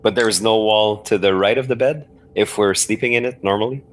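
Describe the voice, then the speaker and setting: Man, low pitch, game player, casual chat, hesitant, questioning, thinking aloud. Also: brisk. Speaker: podcast guest playing a text adventure.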